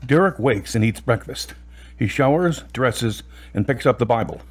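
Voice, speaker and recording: A man with a husky voice reading scripted narration for an audio drama. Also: medium pitch, animated, normal speed.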